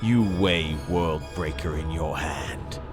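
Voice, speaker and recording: A man reading a dramatic story as scripted narration, with a theatrical, savouring delivery and weighty pauses. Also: very deep voice. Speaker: audiobook-style reader. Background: music.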